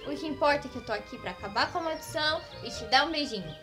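A woman acts out a vain cartoon princess with a high voice. She is breezy and, with clear music underneath. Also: expressive, rapid-fire. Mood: airy, apologetic. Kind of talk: cartoon fairy-tale parody acting.

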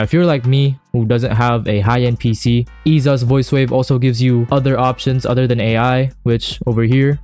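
Man, mid-pitched voice, casual tutorial narration, slangy, enthusiastic, background music. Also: darkish tone. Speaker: YouTuber host. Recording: music under the voice.

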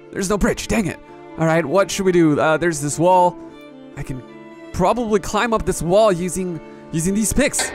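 A man playing an excitable, naive young adventurer, stammering and talking to himself as he goes through an amateur adventure story. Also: on the high side, close to an everyday voice. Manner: talks to himself, stammering, breathlessly reactive.

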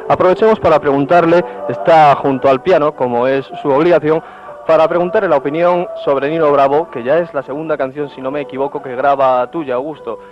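Man, from Spain, tv interviewer, formal wordy questions, slightly high voice, muffled old recording. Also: steady tone, a little fast, faint music behind. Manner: formal, wordy phrasing, restates his questions. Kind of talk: TV reporter questions and presenting. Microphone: handheld mic. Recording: muffled 1970s TV film sound.

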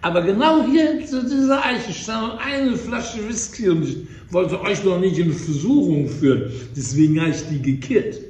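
A man's voice: high voice, animated, moderate pace, darkish tone, pitched above the performer's own voice. A man voicing a sly, permanently drunk male hare who makes mock-apologetic excuses. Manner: apologetic, sing-song excuses. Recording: echoey room, distant mic.

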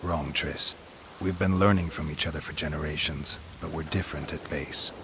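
A world-weary monster hunter in a video game. The voice is extremely deep and gruff, delivered in a terse deadpan. Rain and ambient noise run clearly underneath. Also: male voice, normal speed. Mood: dry, brooding. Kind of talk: video game dramatic dialogue.

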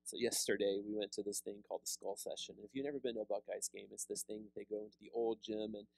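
A pastor in his thirties preaching a sermon, seated with a handheld mic, animated and conversational, often repeating words as he restarts. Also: fairly low pitch, fast, vocal fry, no room sound, low-quality recording. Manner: animated preaching with big hand gestures, rising loud on emphasis. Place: church fellowship hall, seated on a stool.

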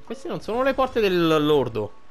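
A man's voice: sits high, big pitch swings, a little slow, faint music behind. A man giving casual, informal playthrough commentary as a gaming streamer, thinking aloud.

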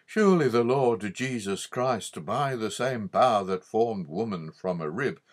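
A man narrating an old religious audiobook in a formal, measured way with emphatic stresses. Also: fairly low pitch, expressive. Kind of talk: scripted audiobook reading of an old religious book.

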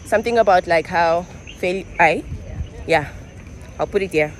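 A woman's voice: fairly low pitch, moderate pace. A young woman from Namibia talking casually to her viewers in a travel vlog, chatty and full of fillers. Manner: chatty, filler-heavy, addresses viewers directly. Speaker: vlogger.

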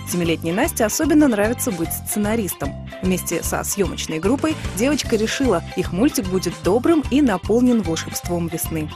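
Female TV reporter, scripted voice-over, mid-pitched, clear music underneath. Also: sing-song, brisk, few pauses. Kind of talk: scripted narration of a TV feature.

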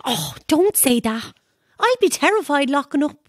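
Very high-pitched Dublin stage-door keeper. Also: female voice, big pitch swings, normal speed, dry recording, studio quality. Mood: uneasy. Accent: Dublin Irish. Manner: flustered, colloquial. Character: anxious, superstitious female theatre stage-door keeper. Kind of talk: audio drama acting.

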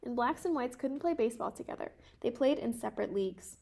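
A woman in her twenties reading a children's picture book aloud in a careful, measured way. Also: on the high side, lively intonation, vocal fry, mellow. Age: 20s. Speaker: storybook reader.

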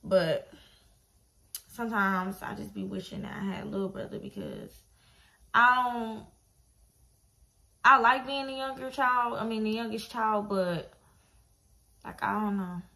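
A woman's voice: medium pitch, expressive, a little slow, gravelly, long pauses, sharp tone. A woman of twenty-one from Detroit, chatting casually to camera in a laid-back, slangy, blunt way. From Detroit, Michigan, USA. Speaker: vlogger. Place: small bedroom, indoors.

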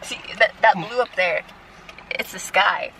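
A woman's voice: medium pitch, unhurried, gravelly, sharp tone, a little hiss or hum, rough recording. A young woman chatting playfully while driving a car. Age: young adult. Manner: playful, giggly. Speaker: driver and co-vlogger. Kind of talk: casual chat. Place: inside a moving car. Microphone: camera mic in car.

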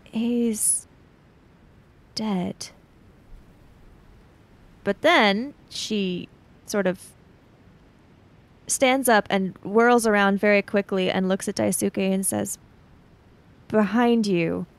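A woman playing a tabletop role-playing game, narrating her character's actions in a hesitant, halting way. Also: medium pitch.

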